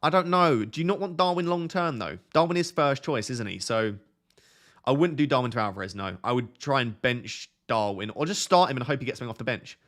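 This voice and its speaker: A young man hosting a fantasy football live stream, reading viewer questions aloud and answering them in a relaxed, soothing voice. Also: mid-range voice, fairly quick. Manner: self-described relaxing, soothing voice. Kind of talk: casual live stream chat.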